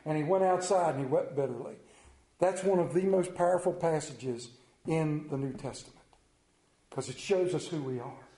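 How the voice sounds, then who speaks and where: Folksy preacher with Central Maryland accent. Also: male voice, on the high side, normal speed, dark tone, roomy sound, rough recording. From Central Maryland, United States. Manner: folksy, tells stories with personal asides. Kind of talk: sermon with storytelling.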